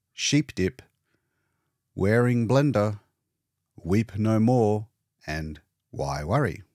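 A man narrating a scripted story as an audiobook reader, with deliberate, dramatic phrasing. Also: sits low, a little mellow, no room sound, studio quality.

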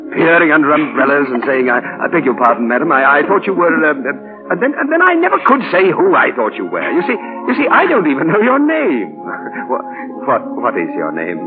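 An actor playing a fond, middle-aged gentleman father in 1940s radio drama, courtly and halting with a romantic streak. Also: on the high side. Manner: halting, courtly speech with chuckles. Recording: muffled vintage 1940 radio broadcast, music.

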